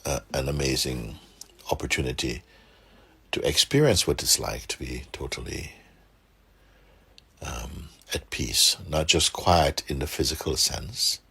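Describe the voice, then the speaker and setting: A man guiding a meditation for a group, speaking softly and calmly with gentle pauses and a husky, breathy voice. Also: very deep voice. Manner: unhurried, gentle pauses, calm instruction. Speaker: meditation guide. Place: room with a seated group, doors closed.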